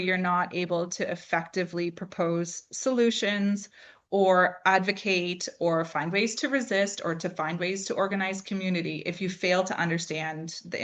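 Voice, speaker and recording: A woman's voice: lowish voice, a bit bright. A woman speaking as an expert presenter in an informal talk, explaining things with rhetorical questions and conversational check-ins. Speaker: AI expert presenting an online discussion.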